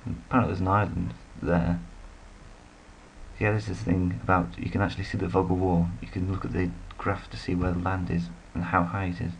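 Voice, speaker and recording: A man's voice: low-pitched, level tone, brisk, creaky, slight background noise, rough recording. A man giving rambling, casual commentary while playing a strategy game, full of self-deprecating asides. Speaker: let's play commentator.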